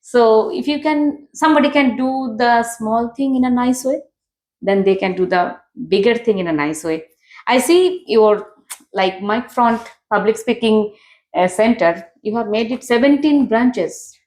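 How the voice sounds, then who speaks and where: A woman's voice: a little high, measured pace, darkish tone, echoey room. A woman hosting an interview, chatty and affirming, with loose, non-native grammar. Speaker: host. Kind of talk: conversational interview.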